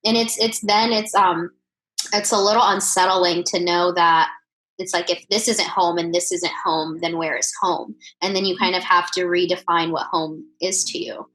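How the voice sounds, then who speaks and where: Co-host, woman, low-pitched, thoughtful and sincere. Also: steady tone, moderate pace, fairly bright tone, rough recording. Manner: reflective, earnest. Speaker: podcast co-host. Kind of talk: casual conversation.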